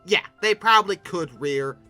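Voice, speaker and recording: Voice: medium pitch, moderate pace, bright, forceful tone. A game reviewer giving an informal, comedic video commentary with a theatrical, sarcastic delivery that leans toward mock outrage. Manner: sarcastic asides. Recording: music bed behind the voice. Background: music.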